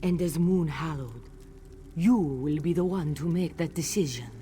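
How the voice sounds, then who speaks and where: A woman voicing a solemn cat-folk priestess leading sacred rites in a fantasy video game, with measured ceremonial delivery. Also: low voice, dark tone, faint music behind. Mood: solemn explaining.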